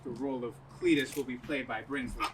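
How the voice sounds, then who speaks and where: A man playing a blunt, deadpan radio station assistant in community theatre. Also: medium pitch, fairly quick, lightly acted. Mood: puzzled. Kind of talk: community theatre stage acting. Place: outdoor stage in a parking lot. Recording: distant mic with noisy outdoor ambience.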